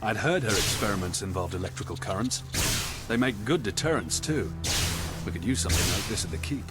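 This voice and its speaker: Man acting as gruff, low-voiced veteran officer, loud music. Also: expressive, natural voice. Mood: wry, observant. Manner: brisk, dry, commanding. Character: gruff male veteran soldier officer, businesslike and wry. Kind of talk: video game cutscene acting. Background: loud game soundtrack music.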